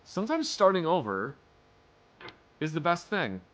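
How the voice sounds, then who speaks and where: A man's voice: fairly high pitch, a little slow, slight background noise. A young man streaming a game live, chatting casually and joking as he plays. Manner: joking, chatty, animated reactions. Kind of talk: casual live-stream chat while gaming.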